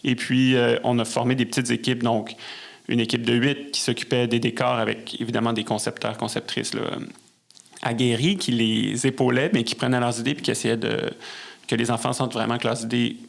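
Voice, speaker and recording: Male podcast guest, Quebec French accent, gravelly mid-pitched voice, conversational delivery with searching restarts. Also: flat delivery, a little fast. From Montreal, Quebec. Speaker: podcast guest, musician and conductor. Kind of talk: conversational interview.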